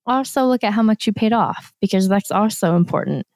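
A woman co-hosting a casual podcast, her voice slightly hoarse, explaining things earnestly. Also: medium pitch, brisk, darkish tone, no room sound. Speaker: podcast co-host.

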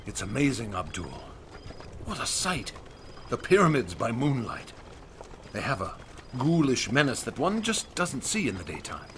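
An actor playing a cocky, jaunty celebrity magician, eager for adventure, in a theatrical radio drama style. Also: male voice, mid-range voice, huge pitch swings, moderate pace, background noise. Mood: awed, keen.

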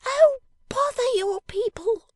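A woman voicing a sharp, impatient, bossy young dolls' dressmaker in an audiobook, with emphatic, scolding delivery. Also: high-pitched, very animated, measured pace, no echo, studio quality, voice pushed up, heavily acted. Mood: irritated, scolding. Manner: emphatic, scolding, theatrical exclamations. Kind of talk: dramatised audiobook character reading.

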